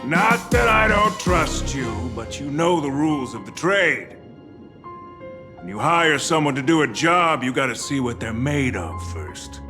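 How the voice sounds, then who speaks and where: A man's voice: medium pitch, sing-song, measured pace, over music. A man playing a wary, sly gangster in a video game, with a drawling delivery. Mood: sly, testing. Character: wary male gangster, sly underworld dealer.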